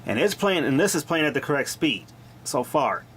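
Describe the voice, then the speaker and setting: A man's voice: a little high, very animated, normal speed, fairly bright tone. A man giving a casual, off-the-cuff repair narration as a hobbyist, plain-spoken and informal with some mild swearing. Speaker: hobbyist repairer of vintage tape machines. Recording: clearly noisy amateur video recording.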